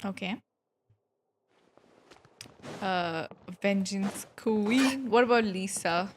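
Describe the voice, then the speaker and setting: A woman's voice: medium pitch, extremely slow, a little hiss or hum. A playful, slangy woman giving casual reaction commentary as she streams a game, with music underneath.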